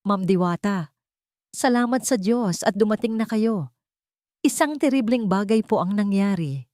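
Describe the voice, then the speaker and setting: A woman's voice: medium pitch, expressive, a little slow, darkish tone, dry recording, pitched above the performer's own voice, natural voice. Lady doing a sly filipino maid in an audio story, fake upset, very polite to the boss, dry sound. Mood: feigned distress. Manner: deferential, feigning distress. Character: scheming housemaid, falsely tearful and deferential to her mistress.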